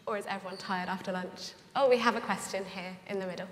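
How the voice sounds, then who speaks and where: A woman's voice: medium pitch, fairly even intonation, fairly bright tone, a little hiss or hum. Woman moderating a panel in a hall. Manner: friendly, brisk, keeps time. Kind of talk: live panel discussion. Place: large conference hall stage. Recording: roomy hall with long reverb.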